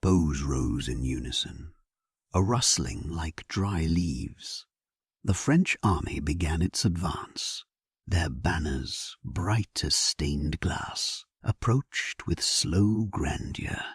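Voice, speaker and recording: A man giving a solemn, measured and theatrical first-person narration of a scripted dramatic sleep story. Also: very deep voice, lively intonation, slightly rough, dry recording, studio quality.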